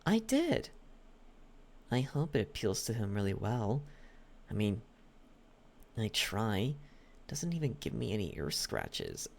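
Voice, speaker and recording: A gravelly, possessive demon butler, acted out by a performer for an ASMR roleplay. The voice swoops up and down in a slow, halting coax, clingy and wronged, repeating itself and sinking into breathy whispers. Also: medium pitch, huge pitch swings, heavily acted. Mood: coy, confiding.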